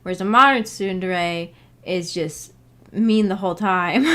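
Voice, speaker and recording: Female livestreamer, mid-pitched voice, giggly and rambling, reading and answering chat casually. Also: lively intonation, takes it slowly, a bit bright. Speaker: streamer, book club host. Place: indoor home streaming setup.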